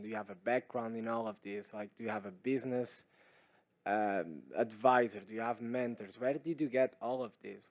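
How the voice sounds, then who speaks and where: A man's voice: medium pitch, a little mellow, lo-fi sound. A man in the audience asking a long, casual question at a forum, with asides and jokes. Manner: casual and chatty, uses "right?" and jokes.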